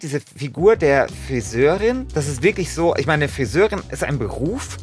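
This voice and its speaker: Man, casual co-host chat, lively intonation, colloquial, emphatic, faint music behind. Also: a little high. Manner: colloquial, emphatic, thinking aloud. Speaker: podcast co-host and writer.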